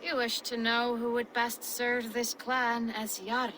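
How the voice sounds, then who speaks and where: A solemn female seer speaks in slow, riddling prophecy. Her tone is bright and bare, each phrase weighed carefully. Faint ambient noise sits under the game audio. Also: fairly high pitch, little pitch movement. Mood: cryptic. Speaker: volva. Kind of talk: video game cutscene acting.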